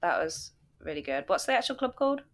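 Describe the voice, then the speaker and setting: A woman's voice: fairly low pitch, quick pace. A young woman from Coventry, a DJ guest chatting casually on a podcast with lots of ums and easy laughs. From Coventry, England. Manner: relaxed, filler-heavy, laughs easily.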